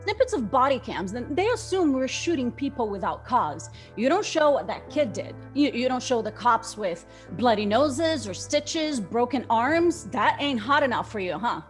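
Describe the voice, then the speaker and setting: A woman's voice: on the high side, very animated, normal speed, hardly any pauses, soft background music. A woman playing a blunt, combative police detective in her forties in a dramatic script read. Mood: angry, defensive. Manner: combative, outspoken. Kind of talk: screenplay table read, dramatic acting.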